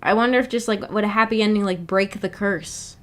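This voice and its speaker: A woman with a medium-pitched voice chats casually as a livestream host. She thinks aloud in a rambling, hesitant way, feeling her way through each thought. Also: fairly quick, a bit bright.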